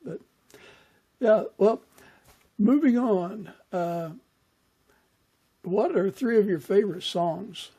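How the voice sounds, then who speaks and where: Slow, husky, high-pitched man. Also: mellow. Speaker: podcast host. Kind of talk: casual interview.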